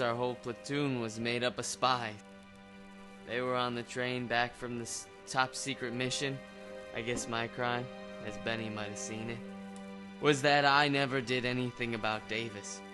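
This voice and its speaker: A man's voice: mid-range voice, close to an everyday voice. A man playing a grim, menacing wounded hitman in an old-time radio drama. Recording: music behind the voice.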